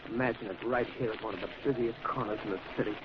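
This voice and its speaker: A man's voice: mid-range voice, steady tone, very fast, close to an everyday voice. An actor playing an uneasy ordinary man on the street in an old radio drama. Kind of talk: 1940s radio acting. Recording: muffled old radio recording, faint noise.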